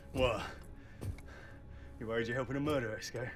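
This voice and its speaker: An actor playing a weary, defensive prison inmate with a violent past in a cinematic video game, wry and streetwise. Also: male voice, mid-range voice, close to an everyday voice. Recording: game audio with music behind. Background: music.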